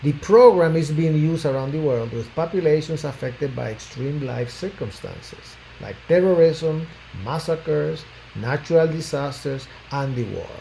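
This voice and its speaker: Measured, mellow-voiced physician from Puerto Rico. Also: male voice, a little high, expressive, gravelly, low-quality recording. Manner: measured, formal delivery that trails off at phrase ends. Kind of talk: prepared self-introduction. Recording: faint background noise.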